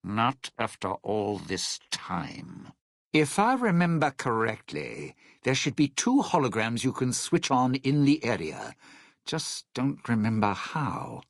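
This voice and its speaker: A self-serving ghoul showman from a video game, his voice heavily gravelled and husky. He drawls unhurriedly in clipped, sardonic phrases, with theatrical flair and very wide swings of pitch. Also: wildly swooping pitch, takes it slowly, no echo, studio quality, full character voice. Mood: grudging, cynical. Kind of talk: video game character dialogue.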